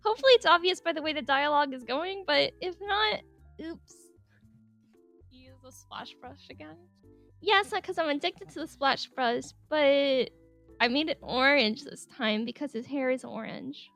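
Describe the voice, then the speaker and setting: A woman with a high, light voice chatting through a speedpaint voice-over. She rambles, giggles often and fills her sentences with "like". Soft music plays faintly behind her. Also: normal speed. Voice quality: speaks higher than her normal voice on mic. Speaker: artist narrating a speedpaint. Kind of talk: casual voice-over commentary. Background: soft background music.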